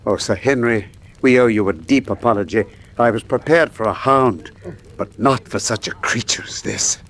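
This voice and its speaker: A man's voice: lowish voice, moderate pace. An actor playing a clever, commanding male detective in a radio drama, decisive and authoritative. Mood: assured. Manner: decisive, authoritative, clipped orders.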